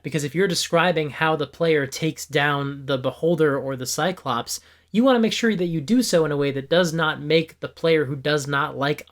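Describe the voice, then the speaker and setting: Slightly hoarse man explaining earnestly. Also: fairly high pitch. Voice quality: a bit gravelly. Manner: earnest, opinionated, explains with examples. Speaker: tabletop game video host. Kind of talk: scripted explanatory video.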